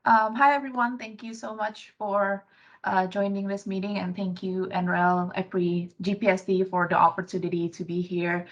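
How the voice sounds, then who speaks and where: A woman from Indonesia in her thirties, a graduate student intern giving a research presentation on a video call, soft-spoken with many fillers and restarts. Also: mid-range voice, flat delivery, normal speed. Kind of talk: virtual poster presentation. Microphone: computer mic on a video call. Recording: dull lo-fi video call audio.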